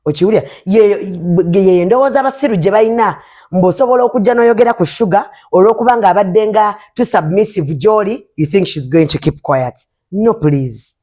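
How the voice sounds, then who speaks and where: A young woman with a Ugandan accent, an interview guest who talks back forcefully and defensively, switching between English and Luganda. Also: medium pitch. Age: young adult. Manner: forceful, defensive, fast-talking. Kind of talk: heated casual interview.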